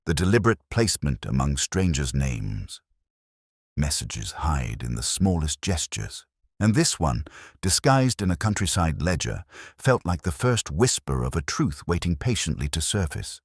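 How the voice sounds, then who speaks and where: A man playing a melancholic, introspective police inspector, narrating a case in the first person in a measured, literary way for an audio drama. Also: very deep voice, slightly rough, no echo, clean studio sound, full character voice. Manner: measured, literary, brooding delivery.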